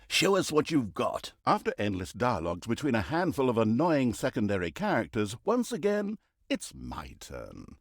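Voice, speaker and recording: An actor playing a pompous, droll, easily exasperated storybook narrator in a video game, with theatrical delivery. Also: male voice, mid-range voice, darkish tone, dry recording, studio quality. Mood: dryly mocking.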